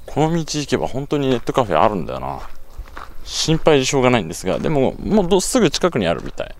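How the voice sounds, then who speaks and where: Lively young man chatting fast on a handheld camera. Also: medium pitch, slight background noise. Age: young adult. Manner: chatty, explains costs and rules in detail. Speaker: travel vlogger. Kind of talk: casual travel vlog narration. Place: outdoors at night, then an internet cafe booth.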